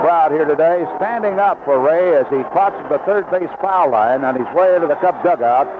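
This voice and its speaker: A man calling live baseball play-by-play on the radio in clipped, descriptive phrases. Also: on the high side. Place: outdoor ballpark broadcast booth. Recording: noisy lo-fi 1970s AM radio broadcast.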